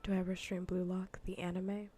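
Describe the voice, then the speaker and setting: Soft, low, half-whispering woman streamer. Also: little pitch movement, a little fast, soft background music. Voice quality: soft, breathy, often near-whispered. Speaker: livestreamer. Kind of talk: soft-spoken ASMR, casual livestream chat while gaming. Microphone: close ASMR microphone. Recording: faint background noise.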